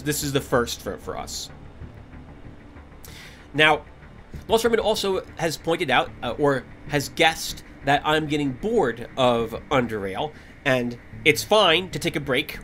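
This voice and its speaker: A man talks to his gaming audience from his computer at home, rambling and candid, with restarts and small laughs. His voice is bright and lively, sitting a little higher than most, but he is stuffy from a cold. A faint hiss runs under the recording. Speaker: gaming channel host. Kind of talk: casual talk to viewers over gameplay.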